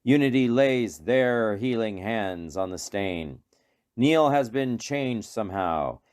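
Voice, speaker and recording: A man's voice: lowish voice, expressive, slow pace, darkish tone, clear, resonant tone. A man reading scripted narration for a radio play in a dramatic storytelling manner.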